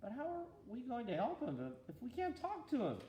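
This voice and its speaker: A man's voice: very high-pitched, huge pitch swings, fairly quick, warm tone, echoey room, slight background noise, pitched above the performer's own voice, full character voice, low-quality recording. A man voicing an eager, anxious angel who questions God in a storybook reading. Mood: exasperated, anxious.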